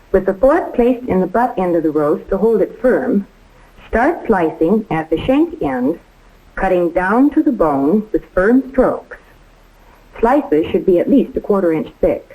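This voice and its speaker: A woman's voice: medium pitch, expressive, unhurried, warm tone, poor audio. A woman giving precise, step-by-step scripted instruction as a home economist in a 1950s training film. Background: music.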